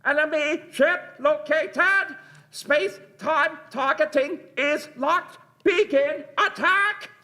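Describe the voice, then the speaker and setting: Merciless alien war machine, high, loud barking delivery, slow clipped announcements for radio drama. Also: male voice, full character voice. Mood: commanding, aggressive. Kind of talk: live staged radio play.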